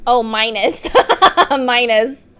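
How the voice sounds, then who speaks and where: A woman's voice: on the high side, very slow, a bit bright, clean tone. A woman game streamer giving casual, exclamatory live reactions as she plays. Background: game music.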